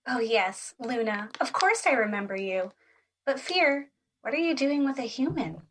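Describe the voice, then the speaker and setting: A woman plays a tender, genteel spirit of emotion in an audio drama. Her voice sits high, and she phrases things in a precious, ladylike way. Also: expressive, moderate pace, a bit bright, slight background noise. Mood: genteel, curious. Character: genteel, sorrowful, tender personified positive emotion.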